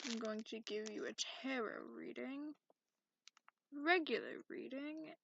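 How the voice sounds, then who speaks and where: Girl doing a grumpy sarcastic dragon guy, asmr, kinda high crackly voice, bit of hiss. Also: vocal fry, full character voice. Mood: sullen, grumbling. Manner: sarcastic, grumbling, unhurried soft delivery. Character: cranky, sarcastic male dragon, grudgingly polite host. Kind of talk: ASMR roleplay, partly whispered. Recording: lo-fi, noisy close recording with clicks.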